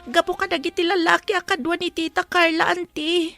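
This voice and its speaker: An actress playing a distressed young waitress pleading for help in an emotional Filipino radio drama. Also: female voice, extremely high pitch, flat delivery, a little fast, vocal fry, bright, forceful tone, full character voice.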